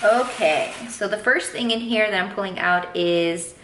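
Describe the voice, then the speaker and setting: A woman's voice: medium pitch, unhurried. A woman in her thirties chatting casually as a beauty vlogger at home, rambling with playful asides. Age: 30s. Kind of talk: casual unboxing chat. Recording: echoey room, faint background noise.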